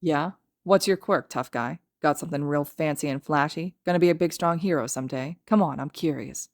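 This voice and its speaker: A woman's voice: deep voice, fairly even intonation, fast, no room sound, close to an everyday voice. A woman voicing a sardonic, menacing young male villain with a blunt, sneering drawl in a story reading. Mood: mocking.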